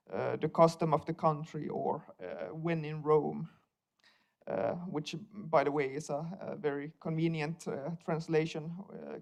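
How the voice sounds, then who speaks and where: Give me a young man from Finland, Swedish his mother tongue, with a gravelly voice, stumbling through a conference talk. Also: fairly high pitch. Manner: hesitant, many fillers and repeated words. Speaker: conference speaker, researcher.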